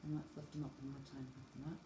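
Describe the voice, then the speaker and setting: A woman's voice: a bass voice, flat delivery, very fast. A woman teacher guiding children through yoga stretches with simple, encouraging step-by-step cues, talking as she demonstrates. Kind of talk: instructional exercise guidance, demonstrating while talking. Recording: echoey room, faint background noise.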